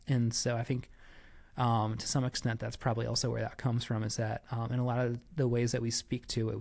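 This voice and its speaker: A man's voice: mid-range voice, creaky. A man giving conversational interview answers as a podcast guest, explaining things with frequent asides and qualifications. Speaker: church staff member, podcast guest.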